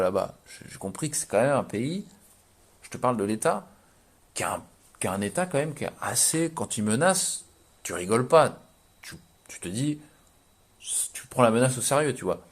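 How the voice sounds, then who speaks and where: Man, 30s, average-pitched voice, for an informal vlog commentary. Slangy, conversational, halting as he thinks aloud. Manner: hesitant, repetitive, thinking aloud. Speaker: vlogger. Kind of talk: casual explanatory commentary.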